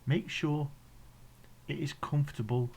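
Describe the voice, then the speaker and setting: A man with an English accent leading a guided meditation in a gentle, soothing, instructional way, leaving pauses between phrases. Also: fairly high pitch, fairly even intonation, creaky, husky. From England.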